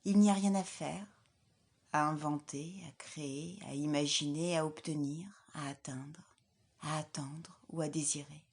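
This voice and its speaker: Woman, yoga instructor, low husky voice, calm guided meditation, soothing, soft breathy endings. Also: unhurried. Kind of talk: guided relaxation meditation, scripted.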